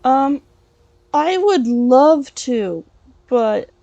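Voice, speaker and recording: A woman's voice: high voice, lively intonation, drawn-out words, warm tone, heavily acted. A woman playing an earnest, polite, inquisitive scholar adventurer in a video game, chatting and musing aloud with some hesitation. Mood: polite, flustered. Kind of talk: improvised in-character let's play monologue.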